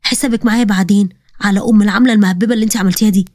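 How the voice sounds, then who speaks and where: A woman's voice: mid-range voice, steady tone, racing, darkish tone, no room sound, studio quality, voice pushed down, close to an everyday voice. A woman voicing a stern middle-aged mother who threatens her daughter, speaking with an Egyptian accent.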